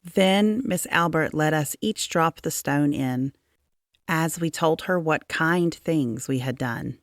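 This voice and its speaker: Lady reading a kids book out loud, low voice, really unhurried and expressive storytelling. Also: no echo, clean studio sound. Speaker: storybook reader. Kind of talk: children's read-aloud narration.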